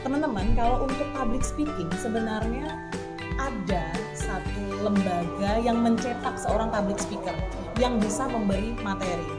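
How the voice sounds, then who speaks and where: A woman's voice: fairly high pitch, moderate pace, over loud music. A woman hosting a casual group discussion, asking questions and guiding the talk. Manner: guides the talk, asks questions. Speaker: host.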